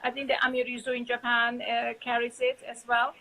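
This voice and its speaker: A woman's voice: fairly high pitch, monotone, brisk, pushed and bright, rough recording. A woman with an Italian accent hosting a casual live video chat, talking loosely with many fillers and restarts. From Italy. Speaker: host.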